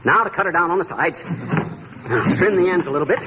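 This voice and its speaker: A man's voice: sits high, animated, fast. A man playing a boastful, bumbling American husband, folksy and pompous, in a 1940s radio comedy. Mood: self-satisfied. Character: blustering, boastful, bumbling middle-aged husband. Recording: noisy, muffled 1940s radio recording.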